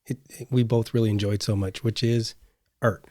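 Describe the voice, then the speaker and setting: A man's voice: lowish voice, flat delivery, moderate pace, warm tone, no echo, clean studio sound. A middle-aged man chatting casually as a podcast co-host. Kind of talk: casual conversational chat.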